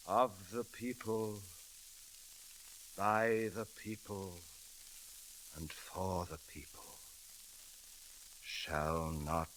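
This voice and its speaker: An actor playing a solemn, reverent man giving a deliberate recitation in an old 1930s radio drama, on a crackly recording. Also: sits low, exaggerated voice. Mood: hushed, reverent. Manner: solemn, deliberate oratory, swelling from hushed to fervent.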